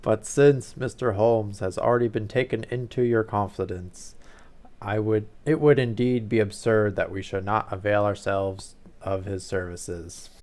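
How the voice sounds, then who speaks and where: Guy putting on a loud deep booming duke, stiff and grand, gravelly low voice. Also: fairly even intonation, unhurried. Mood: stiff, haughty. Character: stately, booming red-bearded middle-aged duke.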